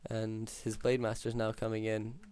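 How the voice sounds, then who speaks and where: Flat-voiced man calling a strategy match. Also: on the low side, moderate pace, smooth, no room sound. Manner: steady, filler-heavy analytical play-by-play. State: hoarse. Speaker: game caster. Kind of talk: solo esports play-by-play commentary.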